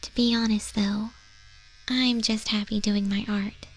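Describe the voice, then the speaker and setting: A woman voicing a gentle, sincere moth monster girl tattoo artist in a soft, breathy, tender ASMR roleplay. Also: mid-range voice, little pitch movement, measured pace, close to an everyday voice, rough recording.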